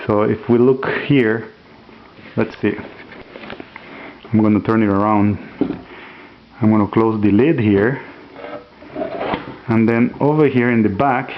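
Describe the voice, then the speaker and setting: A man casually demonstrating a homemade radio at home, relaxed and hesitant, with many fillers. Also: on the low side, muffled recording, slight background noise. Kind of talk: casual show-and-tell demo.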